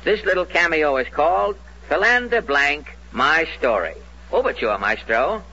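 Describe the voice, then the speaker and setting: A man's voice: a little high, sing-song, measured pace, sharp tone. An American man narrating a scripted 1940s radio comedy in a mock-solemn, declamatory way. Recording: dull old radio broadcast.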